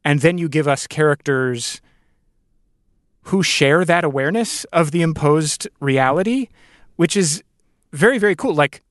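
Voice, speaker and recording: A man hosting a reflective interview, thinking aloud in thoughtful, halting phrases. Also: fairly high pitch, lively intonation, no room sound, studio quality. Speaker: podcast host and interviewer.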